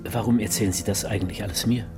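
A male actor as a blunt police detective in a radio crime drama. He is terse and direct in a fairly low voice, with music clearly audible behind him. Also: steady tone, rapid-fire, natural voice. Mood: challenging. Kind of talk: naturalistic German radio crime drama acting.

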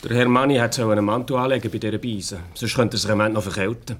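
An actor playing a bitter, greedy farmhand and stepson in a radio play, blunt, with a Swiss German accent. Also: male voice, fairly low pitch, fairly even intonation, rapid-fire, natural voice. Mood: mocking. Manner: blunt, resentful.

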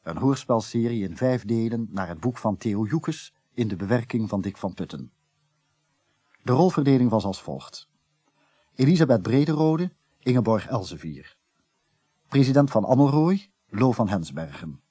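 A man's voice: medium pitch, very animated, moderate pace, warm tone, husky, no room sound, studio quality. A man announcing, as a radio presenter, the scripted cast credits of a radio drama.

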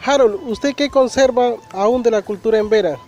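A man's voice: very high-pitched, moderate pace, darkish tone, muffled recording, soft background music. A man from Colombia working as a community radio reporter, asking plain interview questions.

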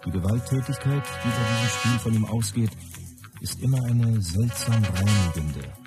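A man's voice: fairly low pitch, moderate pace, close to an everyday voice. A male actor playing a solemn, declamatory narrator in a scripted radio documentary. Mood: solemn admiration. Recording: loud music behind the voice.

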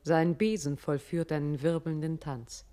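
A woman narrating a radio play in a measured, literary and descriptive way. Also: deep voice, steady tone, warm tone.

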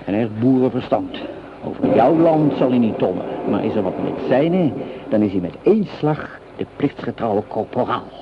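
Male actor as grumbling soldier, gravelly voice, coarse, mocking, hot-tempered, radio play, dull noisy old recording. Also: mid-range voice, expressive, normal speed, natural voice. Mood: bitter, heated. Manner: coarse, sarcastic, emotional. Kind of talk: Dutch radio play acting.

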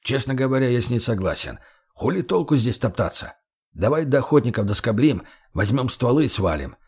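A man's voice: mid-range voice, very animated, a little fast, a little mellow, slightly rough. A man playing a burly, blunt, crude sidekick in an audiobook, with a coarse, slangy, teasing manner. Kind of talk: audiobook character voice.

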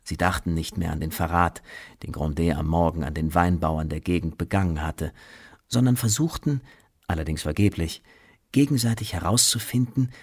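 A man's voice: sits low, breathy edge. A man reading scripted literary narration in a radio drama.

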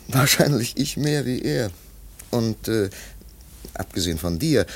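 An actor playing an earnest former art pupil in a radio play, husky and gravelly, hesitant then fervently emphatic. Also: male voice, lowish voice, heavily acted. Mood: eager. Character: earnest, effusive former art pupil revering his teacher. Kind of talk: classic German radio play acting.

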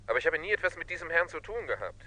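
A man's voice: on the high side, big pitch swings, rapid-fire, sharp tone. A man playing a hesitant, dutiful house servant in a German radio play, protesting politely over a telephone. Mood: hesitant, worried. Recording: noisy, distant telephone line.

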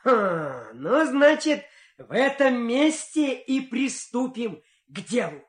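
An actor playing a cocky, carefree young lazybones hero in a fairy-tale radio play, musing to himself in a sing-song way. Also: male voice, extremely high pitch, big pitch swings, slow pace, exaggerated voice. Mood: musing, cheeky. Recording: roomy, echoing studio effect.

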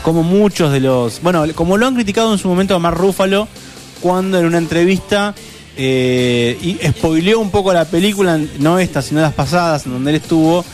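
A man's voice: fairly high pitch, animated, a little fast, faint music behind. A man talking at length about films on a radio show, often restarting his sentences. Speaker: radio co-host. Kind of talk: casual radio chat on films.

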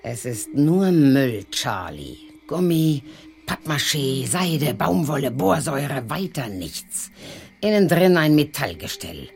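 An actress playing a sly, taunting, seductive wife in a radio drama, coaxing and teasing with drawn-out words. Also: female voice, sits low, very animated, creaky, darkish tone, soft background music, heavily acted. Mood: gloating. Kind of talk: German radio drama dialogue.

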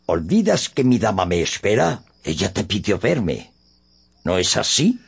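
An actor playing a proud, deluded old knight with pompous, archaic, declamatory speech in a radio drama. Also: male voice, on the low side, big pitch swings, unhurried, creaky, husky, exaggerated voice. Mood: insistent.

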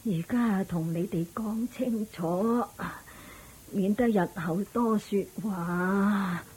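Woman acting old ailing mother, Hong Kong Cantonese, weary sighs, slow halting delivery, faint noise, radio play. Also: medium pitch, darkish tone, close to an everyday voice. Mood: firm, weary. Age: older. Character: frail, ailing, insistent old mother putting affairs in order. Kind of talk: Buddhist radio drama acting. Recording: noisy old radio drama recording.

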